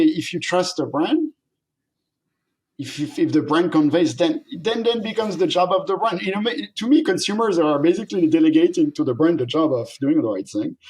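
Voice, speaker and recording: A middle-aged Frenchman with a French accent talks in an animated, lively way, laughing now and then. His voice sits somewhat high for a man. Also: poor audio. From France. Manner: animated, laughs often, acts out quoted voices. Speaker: guest, company founder. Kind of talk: casual interview conversation.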